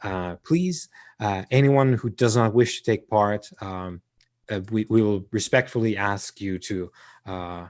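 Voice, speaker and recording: Man playing a courteous preacher, slow and low. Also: darkish tone, lightly acted. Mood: polite. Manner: polite, formal address to a congregation. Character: courteous male church preacher.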